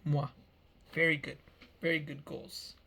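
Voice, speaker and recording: A man's voice: a little high, level tone, unhurried, gravelly, pushed and bright. A man in his early thirties giving a meandering personal life update, often repeating himself and restarting phrases. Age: 30s. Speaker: vlogger.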